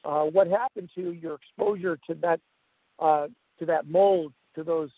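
A man hosting an interview, talking haltingly with many fillers and repeated words. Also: a little high, steady tone, no room sound. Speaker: host. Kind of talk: casual interview talk. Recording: dull lo-fi call audio.

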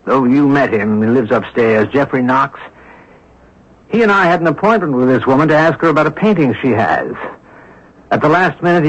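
An actor playing an observant, composed amateur sleuth in a radio mystery drama, reasoning aloud in a deliberate, inquisitive way. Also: male voice, on the high side, a bit bright, slightly rough, exaggerated voice. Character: observant, composed amateur sleuth, a man puzzling over clues. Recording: dull lo-fi old radio broadcast recording.

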